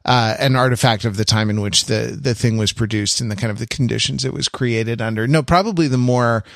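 A man's voice: mid-range voice, a little fast, vocal fry, no echo, clean studio sound. A man co-hosting a podcast, chatting casually in a wry, digressive way as he thinks aloud. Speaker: podcast co-host. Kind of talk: casual pop-culture discussion.

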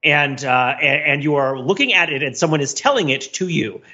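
Guy with a highish voice talking thru a movie on a podcast, wordy, thinks out loud, kinda dull lo-fi sound. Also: animated, a little fast. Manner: wordy, thinking aloud with frequent repeats and "right?" tags. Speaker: podcast co-host. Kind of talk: casual film discussion, analytical.

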